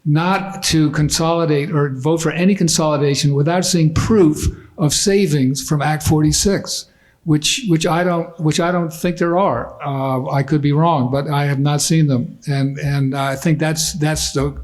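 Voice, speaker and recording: A man's voice: a little high, fairly even intonation, a little mellow. A man from Vermont making an earnest, formal public comment at a school board meeting. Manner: earnest, formal, slightly halting.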